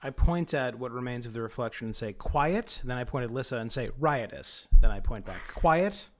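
A man playing a tabletop game, narrating his character in deadpan, formal wording. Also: mid-range voice, normal speed.

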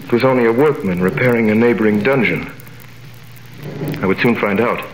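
An actor narrating and playing a young wrongly imprisoned man, in 1930s radio drama style, earnest and measured. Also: lowish voice, noisy background, natural voice. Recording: roomy 1930s radio recording with music.

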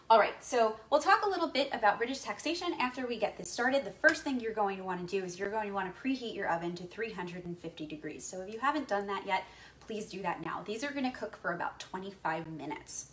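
Bright-voiced young woman teaching in a kitchen. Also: medium pitch, a little hiss or hum. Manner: chatty, explaining step by step. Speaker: history teacher. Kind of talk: cooking demonstration with history lesson. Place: home kitchen.

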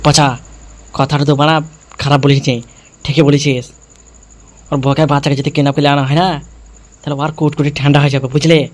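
A man's voice: on the high side, flat delivery, fairly quick, long pauses, slight background noise. Crude, threatening cartoon gang schemer, voiced by a man. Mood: scheming. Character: foul-mouthed vengeful male gang schemer in comedy cartoon. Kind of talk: comedy cartoon character dialogue. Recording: music behind the voice.

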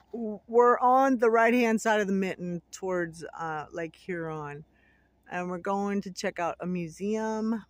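A woman's voice: mid-range voice, no echo. Woman vlogging on her phone by a snowy country road, chatty, lots of y'all. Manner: chatty, addresses viewers as y'all. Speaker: travel vlogger. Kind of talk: casual narrated road trip vlog. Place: outdoors by a rural road in winter. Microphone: phone camera held by the filmer.

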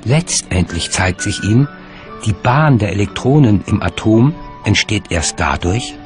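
Low-voiced male documentary narrator over music. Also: animated. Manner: measured, articulate. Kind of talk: scripted narration.

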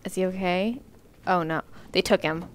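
A woman's voice: fairly low pitch, normal speed. A young adult woman hosting a reaction show, commenting casually into a headset mic. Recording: clear game noise behind.

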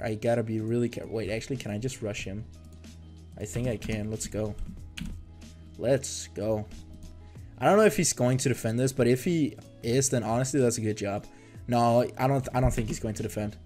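Young Danish man, non-native English, mellow easygoing voice, excitable chatty game commentary over faint background music. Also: medium pitch, moderate pace. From Denmark. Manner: excitable, chatty, trails off and restarts. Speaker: gaming YouTuber. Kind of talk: casual gameplay commentary.

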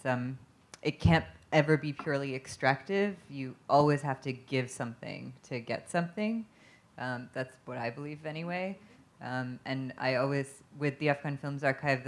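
A woman on a conference panel answering a question from a stage table in a thoughtful, measured way. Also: rumbling low. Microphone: handheld microphone.

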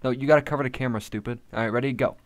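A man's voice: mid-range voice, very fast, dry recording. Crude, teasing teenage guy on a headset. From Illinois, US. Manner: teasing, crude, laughs often. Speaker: video chat prankster. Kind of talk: crude joking with strangers on video chat. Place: bedroom, indoors. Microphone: gaming headset with boom mic.

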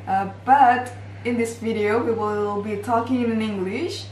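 A woman's voice: on the high side, fairly even intonation, a little mellow. Young Indonesian woman host, roomy and distant. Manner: playful, quick to laugh. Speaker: vlog host. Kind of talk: casual word-guessing game. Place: bright indoor room, on a sofa. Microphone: far camera. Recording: roomy, distant, faint hiss.

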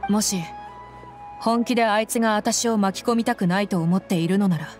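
Tough young bodyguard woman voiced by an actress, mid-pitched, proud, terse and restrained, anime game drama, light music behind. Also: brisk, darkish tone, natural voice. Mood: angry, hurt.

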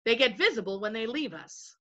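A woman's voice: a little high, big pitch swings, brisk, fairly bright tone, muffled recording. A woman voicing a rambling, colloquial leader of invisible folk, a timid bully in a storybook. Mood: emphatic, nervous.

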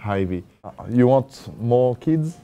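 A man's voice: medium pitch, animated, slow, mellow, clean tone. A man with a French accent, a native French speaker, asking short, direct, teasing questions as an interviewer. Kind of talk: casual, informal interview questions. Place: indoor sports locker room. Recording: noticeable room noise.

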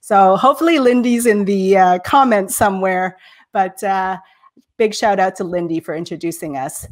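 A woman's voice: mid-range voice, moderate pace. A middle-aged woman hosting an interview show over a video call, bubbly and enthusiastic, laughing often. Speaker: show host. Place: home office, indoors.

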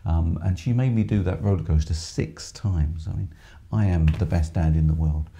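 Hoarse man hosting a live shop stream. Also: low voice, normal speed, warm tone. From England. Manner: chatty, many ums, reads viewer comments aloud. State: hoarse from screaming. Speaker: music shop presenter. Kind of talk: live stream chat reading comments. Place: small music shop studio room with keyboards. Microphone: headset with microphone.

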